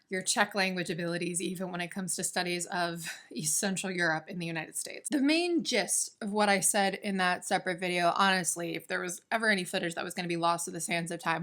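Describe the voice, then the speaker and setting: A woman of thirty talking casually to camera in a vlog, chatty and self-deprecating, with quick asides. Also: lowish voice, fairly bright tone. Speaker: vlogger and graduate student. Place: apartment in New York.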